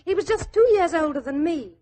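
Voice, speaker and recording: A naive, talkative young Londoner in 1940s radio style. Her voice is high and very animated. She rambles in colloquial speech, flustered and deferential. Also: brisk. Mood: chatty, confiding. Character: chatty, flustered, naive working-class London young woman. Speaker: actor in a radio detective drama.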